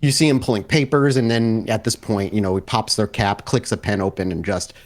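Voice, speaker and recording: A man's voice: fairly low pitch, fairly even intonation. Casual man running a tabletop game. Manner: casual, halting, thinking aloud. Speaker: game master. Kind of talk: improvised tabletop game narration.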